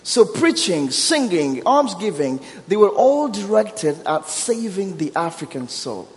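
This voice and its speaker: A man's voice: sits high, big pitch swings, a little slow. A man in his thirties from Burundi with a Burundian accent, preaching a sermon with humor and building emphasis. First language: Kirundi. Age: 30s. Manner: warm storytelling with self-deprecating humor, rising emphasis. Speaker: guest preacher. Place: church sanctuary. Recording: long hall reverb.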